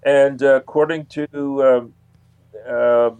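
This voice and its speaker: A man speaking as an interview guest, recounting anecdotes casually in a halting, hesitant way with long pauses. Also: medium pitch, fairly even intonation, a little mellow, smooth, muffled recording.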